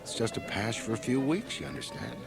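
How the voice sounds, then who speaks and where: Smug, lively young man-about-town. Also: medium pitch, fairly quick, creaky, over music. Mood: breezy, cocky. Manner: breezy, pompous, self-assured. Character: glib, self-satisfied, ambitious young man-about-town. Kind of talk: radio drama dialogue. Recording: 1980 radio drama, home cassette transfer.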